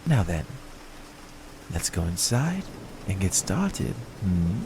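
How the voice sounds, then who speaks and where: A smug, predatory vampire, acted by a man in an ASMR roleplay. The voice is fairly low and crackles with fry, drawing words out slowly. He teases and coaxes his prey with hypnotic menace. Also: very animated, exaggerated voice. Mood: smug, teasing. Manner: slow, teasing, menacingly coaxing, sometimes whispering.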